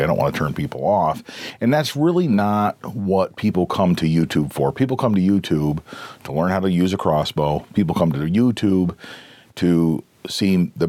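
A middle-aged man with a very low, hoarse voice, just getting over a cold, hosts his own podcast in a long earnest monologue. He presses his points with emphasis and circles back to repeat and reword them. The recording is dry, with no room sound. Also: a little mellow, dry recording. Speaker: podcast host.

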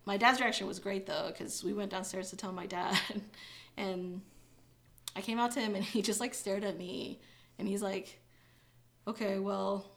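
A young woman speaking as an interview guest in a relaxed, chatty way, full of "like" and quick laughs. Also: mid-range voice. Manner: chatty, many fillers, laughs when uncomfortable. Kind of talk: casual interview chat.

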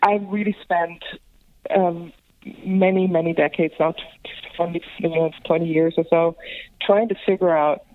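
A woman's voice: on the low side, no echo. Reflective woman on a tinny phone line. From Greece. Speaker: filmmaker guest. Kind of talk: reflective interview talk.

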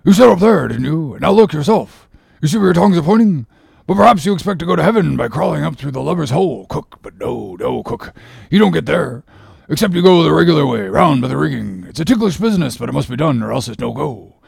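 Man acting a gravelly, mocking sailor officer. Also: fairly high pitch, fast, no room sound, clean studio sound, pitched above the performer's own voice, full character voice. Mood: mock-stern. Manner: animated, needling, mock-solemn interrogation. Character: bossy, mocking sailor officer lecturing his cook. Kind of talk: audiobook character reading.

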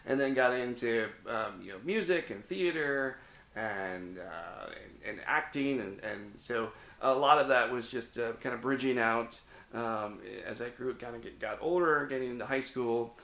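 A man's voice: mid-range voice, moderate pace, fairly bright tone. Middle-aged Midwestern man, interview guest, hesitant, ums and restarts, recorded in an echoey room. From Cincinnati, Ohio (US Midwest). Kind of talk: casual interview, telling his life story.

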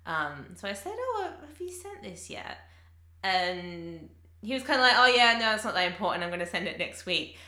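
A woman's voice: mid-range voice, big pitch swings, normal speed, bright, forceful tone, echoey room. A woman who teaches English, talking through her thoughts with many fillers and restarts in a casual conversation.

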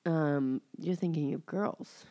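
A woman's voice: low voice, takes it slowly, mellow, no room sound. A woman co-hosting a podcast, chatting casually with self-deprecating banter in a slightly nasal voice. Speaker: podcast co-host. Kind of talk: casual comedic chat.

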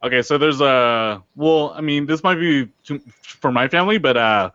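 A man's voice: fairly high pitch, normal speed, fairly bright tone, dry recording. Hesitant man on a dull lo-fi mic. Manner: hesitant, many fillers. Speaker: podcast guest. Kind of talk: casual chat.

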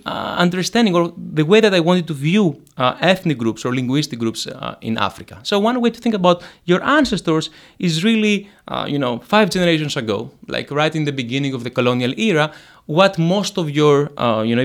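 A man from Greece, explaining his economics research in an interview, full of fillers and restarts. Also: a little high. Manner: hesitant, many fillers and restarts. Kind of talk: academic interview.

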